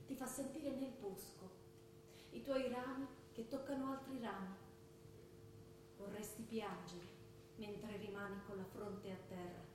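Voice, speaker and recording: A woman's voice: fairly even intonation, a little slow, pauses often, darkish tone, natural voice. Theatre narration: reflective, lyrical female storyteller played by an actress, heard from afar in heavy echo. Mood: reflective, moved. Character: reflective female narrator voicing a convert's inner feelings. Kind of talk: lyrical second-person dramatic narration. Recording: distant, echoey stage with rumbling noise.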